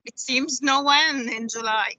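A middle-aged woman from Palestine hosting a webinar, reading out chat answers slowly with many fillers, over a video call. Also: sits high, pushed and bright, no room sound. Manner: halting, many fillers, slow careful reading. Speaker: webinar host. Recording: dull lo-fi video call audio.

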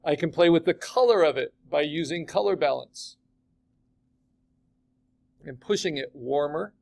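A man teaching a digital art class, chatting casually and asking his students questions as he demos the software. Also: on the high side, measured pace, creaky. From California. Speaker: art instructor.

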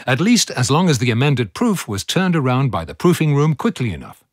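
A man's voice: medium pitch, sing-song, a little fast, dry recording, clean studio sound. A man giving scripted narration for a museum audio play, as a storytelling narrator. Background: faint music.

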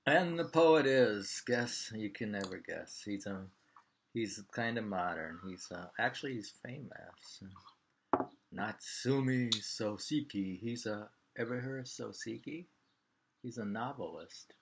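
A man in his sixties with an American Midland accent, chatting casually and reading poetry aloud in a halting, musing way. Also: on the low side, animated. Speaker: poetry reader and host. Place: small home room by a window, indoors. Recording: clearly noisy home webcam recording with clicks.

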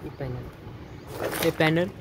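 A man talks through an installation as he walks around, pausing, restarting and repeating himself. It is recorded on a handheld phone on a rooftop and indoors, with clear traffic noise behind him. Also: a little high. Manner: halting, repeats words and restarts while pointing things out. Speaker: electrician showing an installation. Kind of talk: casual walkthrough explanation. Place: rooftop outdoors, then indoor stairwell and wall. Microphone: handheld phone camera, filming himself off-frame.

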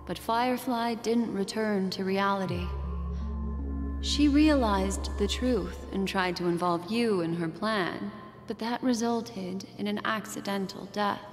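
A woman's voice: medium pitch, natural voice. A woman voicing a hypnotic fortune-teller. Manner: slow, hypnotic storytelling. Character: mysterious woman fortune-teller, soft and knowing. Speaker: game character narrating a recap. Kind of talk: video game cutscene voice acting. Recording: game audio with long reverb and music.

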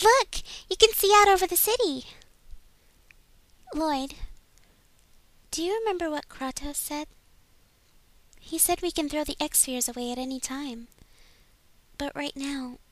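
Woman as a high, girlish anime heroine. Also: a bit bright, no room sound. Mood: excited. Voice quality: girlish, sweet. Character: gentle, earnest, sweet teenage girl heroine. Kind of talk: amateur anime game dub audition.

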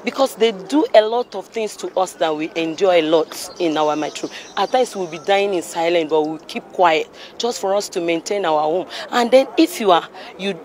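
A woman's voice: lowish voice, a little mellow. A middle-aged Nigerian woman answering a street interview, telling a story earnestly with stops and restarts into a handheld mic. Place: busy city street outdoors. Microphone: handheld mic held by interviewer. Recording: street traffic noise.

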